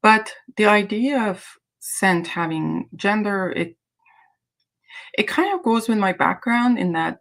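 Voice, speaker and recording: A woman's voice: mid-range voice, expressive, slow, creaky, a bit bright. A woman from Iran whose native language is Azeri, hosting a casual livestream chat in a hesitant, rambling way. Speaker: livestream host.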